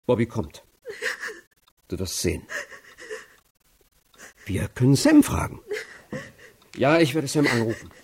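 A man's voice: mid-range voice, very animated, slow pace, long pauses, breathy edge, heavily acted. An actor playing a stern, worried middle-aged father in a radio crime drama, with clipped, demanding questions. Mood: worried, soothing.

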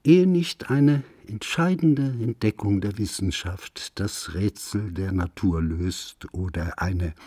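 A man with a low, husky voice gives a solemn scripted reading of literary prose. He takes his time over each phrase, grave and declamatory in the way of a radio recitation. The pace is slow and deliberate. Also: dark tone, no room sound. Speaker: reader of a literary essay.

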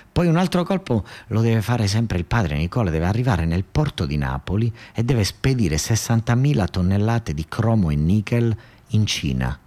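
Deep-voiced southern Italian male storyteller. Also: a little mellow. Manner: conversational, repeats phrases for emphasis, halting restarts. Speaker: storyteller, monologue performer. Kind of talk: colloquial theatrical monologue storytelling for radio.

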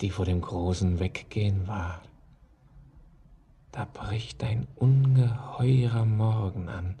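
An actor playing an ancient, weary, ghostly old miner in a poetic radio drama, gravelly and husky, speaking in a halting declamation. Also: male voice, deep voice, dark tone, a little hiss or hum, exaggerated voice. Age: older.

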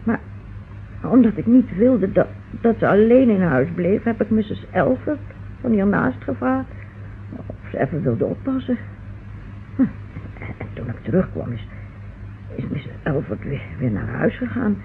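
An actress playing an anxious, drowsy older housewife in a radio play, speaking haltingly and repeating words. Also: female voice, mid-range voice, sing-song, creaky. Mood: dazed, halting. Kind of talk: old radio play acting. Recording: noisy, muffled phone-like old radio recording.

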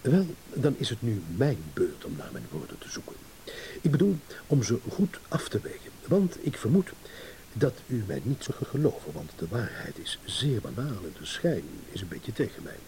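An actor playing a suave, evasive ladies' man in a radio play, speaking with irony and deliberate pauses. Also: mid-range voice, very animated, dark tone, low-quality recording. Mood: careful, denying. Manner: smooth, ironic, measured with deliberate pauses. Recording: hissy old radio play recording.

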